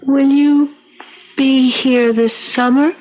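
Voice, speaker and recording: A shy, adoring middle-aged sweetheart in a 1970s radio romance. She speaks slowly and hesitantly, with a fluttery, tender manner. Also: high-pitched, fairly even intonation, darkish tone, lo-fi sound. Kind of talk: 1970s radio drama acting.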